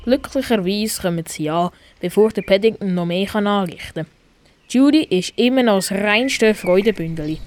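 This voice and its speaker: A woman's voice: medium pitch, normal speed. A woman with a Swiss German accent narrating a scripted radio play for children. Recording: faint background noise.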